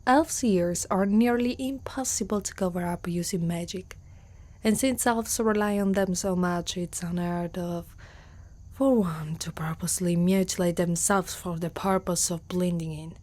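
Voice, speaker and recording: A woman's voice: fairly low pitch, measured pace, darkish tone. A woman with an Italian accent playing a proud, guarded but protective centaur girl in an ASMR roleplay, often dropping into whispers. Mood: suspicious, haughty. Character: proud, guarded young female centaur warrior, gruffly protective.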